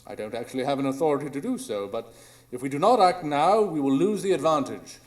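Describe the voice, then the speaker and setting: Man acting a brilliant analytical detective, higher male voice, animated intonation, formal, precise, live radio play. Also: quick pace, close to an everyday voice. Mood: urgent, assured. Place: small improv theatre stage.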